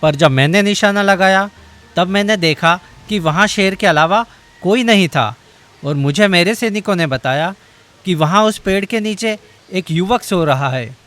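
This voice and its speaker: A man's voice: sits high, unhurried, a bit bright. A man voicing an earnest, dutiful king in a cartoon story. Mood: puzzled. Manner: earnest, pleading. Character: brave king, puzzled then repentant. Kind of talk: Hindi cartoon voice acting.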